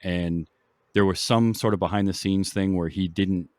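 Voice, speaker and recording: A man's voice: deep voice, fairly even intonation, normal speed, darkish tone, dry recording. A man hosting a podcast, chatting casually about films. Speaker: podcast host. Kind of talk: casual film discussion.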